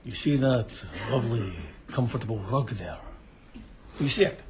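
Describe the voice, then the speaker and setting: An actor playing a brutal, lecherous bandit leader in a radio drama, taunting with theatrical mock courtesy. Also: male voice, mid-range voice, normal speed, crowd noise, close to an everyday voice. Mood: leering taunts.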